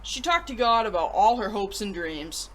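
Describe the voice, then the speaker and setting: A woman hosting a children's story show, reading aloud in an animated, expressive way. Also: mid-range voice, moderate pace, slight background noise, rough recording.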